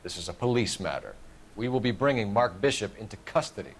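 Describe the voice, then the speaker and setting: An actor playing a stern, by-the-book young police detective in a video game, speaking in a brisk, formal way. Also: male voice, fairly low pitch, very animated, slight background noise. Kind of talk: dramatic video game acting.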